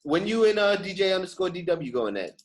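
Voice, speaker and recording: Male podcast host, rapid delivery, casual and slangy, repeats lines for emphasis. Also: sits high, a crowd in the background. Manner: animated street slang, repeats phrases for emphasis.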